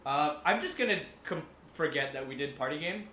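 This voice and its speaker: A man's voice: fairly high pitch, animated, brisk, pushed and bright. Guy hosting a movie podcast, jokey, roomy echo, bit of noise behind him. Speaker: podcast host. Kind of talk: casual chat about films.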